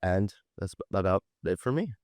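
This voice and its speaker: A man's voice: deep voice, animated, moderate pace, a little mellow, no echo, studio quality. A man in his twenties from Pennsylvania, giving a brief, matter-of-fact introduction as a student panelist. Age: 20s.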